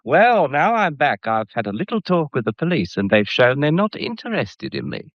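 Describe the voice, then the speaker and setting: An actor playing an insolent, scheming English blackmailer in a mystery radio drama, talking with smug, deliberate menace. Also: male voice, a little high, wildly swooping pitch, dry recording. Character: insolent, scheming male gallery employee turned blackmailer. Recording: dull, muffled radio drama recording.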